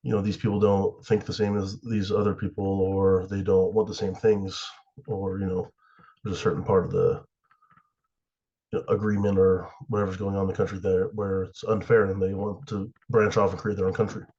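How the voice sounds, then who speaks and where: A sick young man from the USA, slightly hoarse and raspy, giving a class presentation with many fillers and pauses. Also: sits low, level tone, warm tone, dull audio. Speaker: student presenter.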